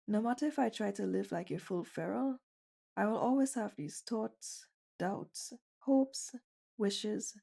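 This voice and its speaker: A woman's voice: mid-range voice, gravelly, warm tone, slightly rough, exaggerated voice. A woman playing a shy, skittish stray bunny girl in an ASMR roleplay, speaking in a hesitant, easily flustered way.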